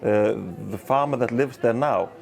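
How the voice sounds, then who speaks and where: A man, speaking thoughtfully in a documentary interview, measured and unhurried. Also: mid-range voice, creaky. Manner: thoughtful, measured, with pauses and fillers.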